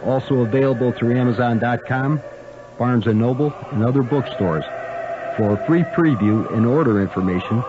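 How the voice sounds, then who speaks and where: A man's voice: medium pitch, normal speed, background noise. A man reading an opinionated radio advertisement as an author promoting his own book. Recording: dull radio spot over music.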